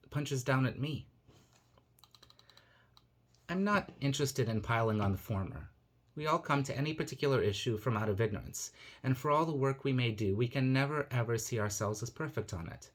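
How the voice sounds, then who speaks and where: A man raised in Nigeria and the United States reading his own reflective personal essay in a measured, earnest manner. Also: mid-range voice. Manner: measured, earnest, thoughtful delivery.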